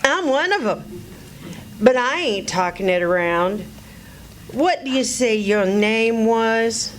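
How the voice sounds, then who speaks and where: A woman acts a shrewd, motherly country housewife in a stage radio play. She has a highish voice and a slow, folksy drawl, with faint noise behind her. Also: lively intonation. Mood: gossipy, prying. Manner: folksy Southern rural dialect, drawn-out. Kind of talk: live radio play acting.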